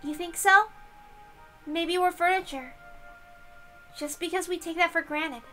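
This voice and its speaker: Woman acting young female servant, high pitch, bright tone, earnest big sister, insistent, visual novel character, faint music behind. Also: unhurried, heavily acted. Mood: earnest, hopeful.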